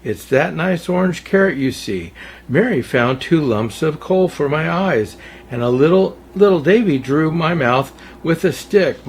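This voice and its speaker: A man reading a children's storybook aloud as a storyteller, in a theatrical, deliberate way. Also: a little high, slightly rough. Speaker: storyteller, Santa story-time host.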